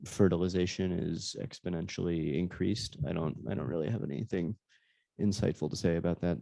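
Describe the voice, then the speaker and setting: A man with a very low, gravelly and mellow voice talks as a guest author at a book event. He is reflective and halting, often pausing to find the right word. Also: fairly even intonation, no room sound. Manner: thoughtful, halting, searching for words. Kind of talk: live audience book discussion.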